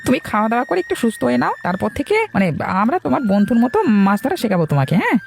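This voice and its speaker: A man voicing an excitable, kindly fisherman in a cartoon. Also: very high-pitched, quick pace, vocal fry, soft background music, full character voice. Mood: kindly concern. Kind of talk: cartoon dubbing.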